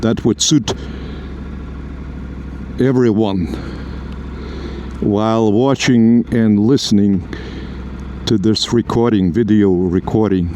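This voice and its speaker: A Lithuanian man talks through a spiritual teaching outdoors, his speech slow and halting, split into short phrases. Steady traffic noise from the city street sits behind his voice. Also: fairly low pitch. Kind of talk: spoken spiritual teaching on a walk. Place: outdoors on an urban street, walking. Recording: noisy street recording. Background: traffic and roadworks.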